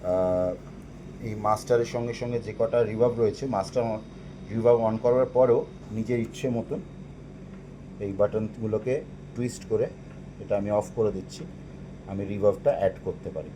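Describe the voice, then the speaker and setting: Male presenter, Bengali accent, fairly low voice, informal explanatory demo delivery, clearly noisy recording. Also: steady tone, normal speed, pauses often. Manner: explanatory, mixes in English technical terms. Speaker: presenter demonstrating audio equipment. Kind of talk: informal product walkthrough, explaining step by step.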